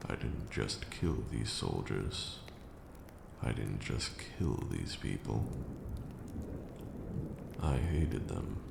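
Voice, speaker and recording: A man playing an ancient, brooding vampire lord in a slow ASMR roleplay monologue, hushed and grandiose, with measured archaic phrasing. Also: heavily acted. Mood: bitter, remorseful. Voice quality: very deep, gravelly, hushed. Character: ancient male vampire lord, brooding, cruel yet now weary. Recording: roomy echo, audible background noise.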